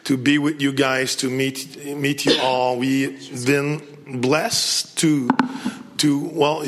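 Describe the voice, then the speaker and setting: A husky-voiced man with a Québécois French accent, his first language French, addresses a church gathering in English. He talks in a casual, self-deprecating way, jokes easily, and often stops and restarts his sentences. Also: medium pitch, fairly bright tone. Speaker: guest speaker.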